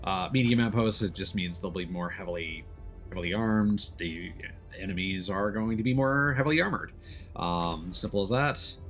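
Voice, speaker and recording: A man's voice: low-pitched, normal speed, fairly bright tone, soft background music. A man livestreaming a game, casually explaining how it works in a hesitant, thinking-aloud way. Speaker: video game streamer. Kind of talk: casual gameplay commentary, explaining mechanics.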